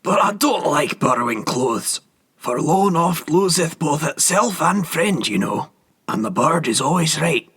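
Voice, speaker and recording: A man voicing a frail, vain old gentleman with a thin, reedy voice, fussing and repeating himself. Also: fairly high pitch, unhurried, husky, pitched above the performer's own voice, exaggerated voice. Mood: complacent. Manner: anxious, fussy, self-flattering repetition.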